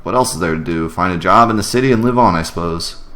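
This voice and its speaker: A man voicing a gentle, resigned widowed mother who works as a caretaker in a video game. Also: low-pitched, fast talker. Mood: wistful. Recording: music behind the voice.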